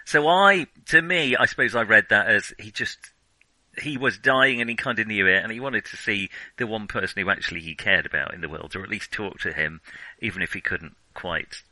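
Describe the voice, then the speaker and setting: Bright-voiced man chatting about films. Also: fairly low pitch, brisk, dry recording, poor audio. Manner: hesitant, digressive, thinking aloud; self-confessed nerdy enthusiasm. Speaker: film podcast host. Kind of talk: casual film discussion.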